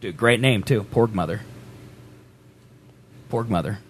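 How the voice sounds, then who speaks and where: A man's voice: on the low side, unhurried, slight background noise. A man co-hosting a podcast, chatting casually and teasing his co-host in a joking way. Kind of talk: casual banter.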